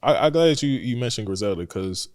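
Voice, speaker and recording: A man's voice: on the low side, expressive, fast talker, darkish tone. A man from Atlanta with a Southern accent, hosting a casual interview and affirming the guest. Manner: laid-back, lots of fillers, affirming. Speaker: host.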